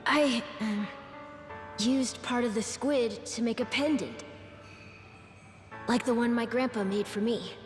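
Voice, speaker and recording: A brave, sickly young boy from a video game. His voice is high, gentle and a little hesitant, echoing in a large space with faint music. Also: slow pace, pauses often. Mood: shy, eager. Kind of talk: scripted video game cutscene acting. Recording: echoey hall with faint music.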